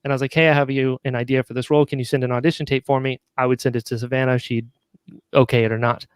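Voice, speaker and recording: A man's voice: medium pitch, flat delivery, very fast, clear, resonant tone, dry recording. A man chatting casually as an interview guest, enthusiastic and talkative, often chuckling as he goes. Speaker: guest, audio drama writer and actor.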